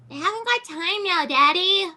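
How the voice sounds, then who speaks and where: A woman's voice: extremely high pitch, animated, normal speed, bright, forceful tone, full character voice. A woman voicing a breezy, dismissive little girl in an amateur radio play, curt and sing-song.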